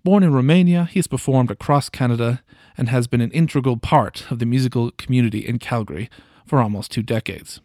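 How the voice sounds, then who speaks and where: A man hosting a podcast, narrating a story in a measured storytelling way. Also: medium pitch, darkish tone, no echo, clean studio sound. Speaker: podcast host. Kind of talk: scripted narration and interview questions.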